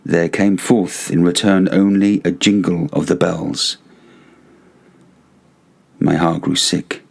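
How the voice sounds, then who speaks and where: A man reading a gothic story as narrator, theatrical and deliberate, with pauses between phrases. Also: low-pitched, flat delivery, creaky.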